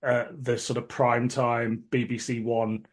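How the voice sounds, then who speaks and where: A man's voice: medium pitch, little pitch movement, measured pace. A man speaking as the host of a casual podcast, chatting and reviewing.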